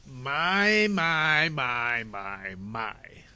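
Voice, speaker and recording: A man voicing a sneering, scheming villain who talks with mock politeness and drawn-out, theatrical menace. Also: mid-range voice, sing-song, sharp tone, clean tone, heavily acted. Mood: mock surprise.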